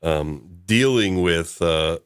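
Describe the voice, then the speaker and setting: A man's voice: deep voice, animated, slow pace, clear, resonant tone, dry recording, studio quality. A man hosting a casual podcast discussion, talking in an informal, rambling way. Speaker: host.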